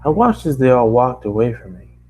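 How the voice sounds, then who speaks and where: A man narrating a first-person story as an amateur reader, halting now and then to correct his phrasing. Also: medium pitch, very animated, darkish tone, slightly rough, rough recording.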